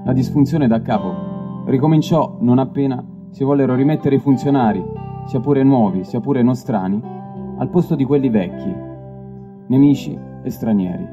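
A man giving a dramatic literary reading, declamatory and deliberate, with weighty pauses. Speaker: stage reader of literary texts. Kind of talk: dramatic literary reading over live music. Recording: dull lo-fi recording, plucked bass music behind. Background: live stick bass music.

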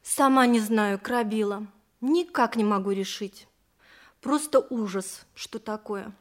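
Actress as a naive, musing young-hearted milliner, mid-pitched. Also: female voice, measured pace, lightly acted. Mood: undecided, naive. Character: naive, wondering unmarried milliner of ancient Greece. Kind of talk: theatrical audio drama with first-person narration.

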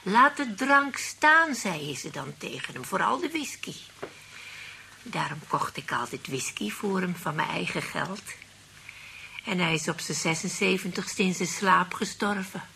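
Gravelly old widow, low pitch, blunt, forgetful, dry delivery, radio comedy drama, faint hiss. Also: female voice, lively intonation, a little slow, sharp tone, heavily acted. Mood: dryly aggrieved. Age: older. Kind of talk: radio play acting.

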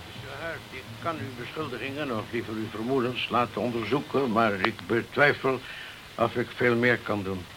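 An actor playing a cynical, reserved French police chief in a crime radio play, speaking formally, cautiously and in a measured way. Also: male voice, mid-range voice, over music, lightly acted. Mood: guarded. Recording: hissy, noisy old radio drama recording.

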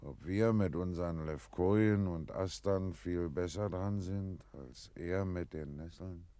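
A resigned village elder in a radio drama, deep dry sound, measured and philosophical. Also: male voice, mellow, dry recording. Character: sober, resigned village elder passing judgement. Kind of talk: German radio play acting.

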